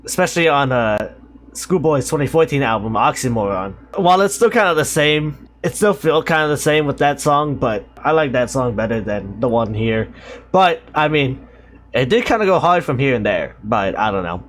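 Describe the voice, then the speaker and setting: A man's voice: medium pitch, lively intonation, moderate pace. A man casually reacting to an album, rambling and hesitant, joking and now and then singing along. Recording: music playing clearly behind. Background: song playing loudly.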